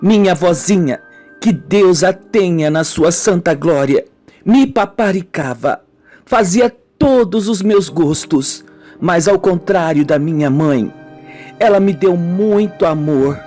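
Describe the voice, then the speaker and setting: A woman with a Brazilian accent playing a wounded, brooding woman confessing her childhood in a melodramatic, deliberate radio soap style. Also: fairly low pitch, expressive, dark tone. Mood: tender, nostalgic. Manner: slow, melodramatic, deliberate storytelling. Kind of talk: amateur radio soap acting. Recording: music bed under the voice.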